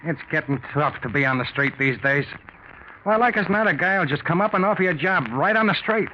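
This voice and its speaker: An actor playing a chatty, grumbling streetwise hobo with folksy slang, in 1940s radio drama style. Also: male voice, a little high, fairly quick, lightly acted. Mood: friendly, curious. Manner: folksy slangy talk, animated. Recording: muffled old radio recording with faint noise.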